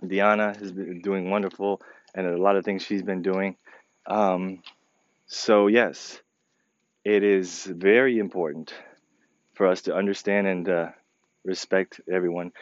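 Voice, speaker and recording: A man running his company, speaking informally to his staff in a podcast, with a slightly crackly voice and a halting, earnest delivery full of fillers and restarts. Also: sits low, no room sound, muffled recording. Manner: earnest, repeats himself to stress points. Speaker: company president addressing staff. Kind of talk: informal podcast address to employees.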